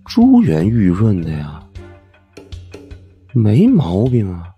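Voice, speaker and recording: A man narrating an audiobook in a colloquial, wry and expressive first-person storytelling style. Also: fairly low pitch, takes it slowly, faint music behind.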